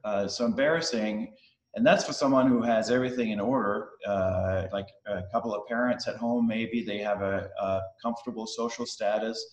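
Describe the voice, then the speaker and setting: A middle-aged man from Denmark whose first language is Danish, giving a casual, halting talk on a video call with many fillers and restarts. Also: fairly low pitch. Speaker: guest speaker. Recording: roomy echo on a video call.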